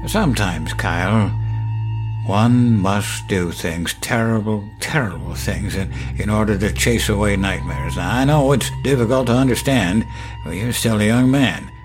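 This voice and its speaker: An actor playing a gentle yet sinister old grandfather in a horror audio drama, talking in a deliberate, folksy, halting way. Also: on the low side, soft background music, natural voice. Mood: eerie, hushed. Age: older.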